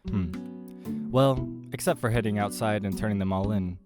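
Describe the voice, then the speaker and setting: A man with a low voice reads a visual novel aloud for his channel, giving it an expressive delivery with casual asides. Clear background music plays behind him. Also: brisk. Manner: expressive dramatized reading. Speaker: gaming content creator. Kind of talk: reading a visual novel aloud with side comments.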